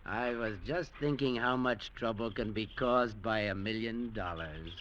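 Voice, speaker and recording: An actor playing a sly, needling beachcomber in an old radio drama, wry and unruffled. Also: male voice, on the low side, a little slow, dull audio, lightly acted. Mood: sly, amused. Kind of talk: 1940s-50s radio drama acting. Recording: vintage radio recording with hiss.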